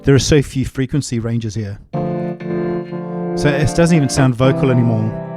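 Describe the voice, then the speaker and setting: Man narrating a music software tutorial over music. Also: medium pitch, moderate pace. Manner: explanatory, step-by-step. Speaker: music production tutorial presenter.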